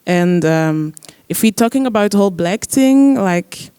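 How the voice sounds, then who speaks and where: Young man musician, mellow smooth voice. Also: high-pitched, lively intonation, no echo. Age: young adult. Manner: searching, halting phrasing with many fillers. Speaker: musician guest, trombone player. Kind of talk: panel discussion answer.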